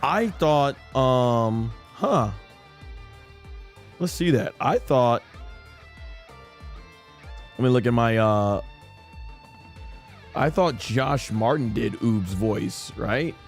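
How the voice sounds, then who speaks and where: A man's voice: medium pitch, lively intonation, drawn-out words, creaky, pauses often. A man chatting casually and enthusiastically as a live streamer, rambling through game commentary. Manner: enthusiastic, rambling fan chat. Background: music.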